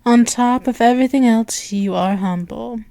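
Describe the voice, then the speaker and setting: A woman's voice: on the high side, warm tone, no echo, close to an everyday voice. A woman playing an imperious, seductive, possessive demon princess in an ASMR roleplay, with a regal, lingering delivery that often slips into whispers. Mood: smitten, teasing. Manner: slow, lingering, regal, doting endearments, often breaking into whispers. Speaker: audio roleplay performer. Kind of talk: ASMR roleplay acting, dramatic monologue.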